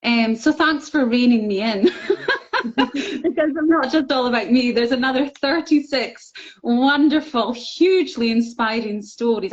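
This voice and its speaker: Earnest middle-aged woman, dull lo-fi sound. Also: fairly high pitch. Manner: open, earnest storytelling with fillers. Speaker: guest, book contributor. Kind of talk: casual interview chat. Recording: slightly dull, lo-fi audio.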